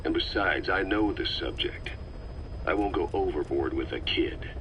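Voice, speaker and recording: A man's voice: low-pitched, expressive, normal speed, a bit bright, poor audio. A man playing a cold, insistent military interrogator in a video game, speaking in a blunt, accusatory way. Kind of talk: scripted video game dialogue over radio. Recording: band-limited radio tape, clear background noise.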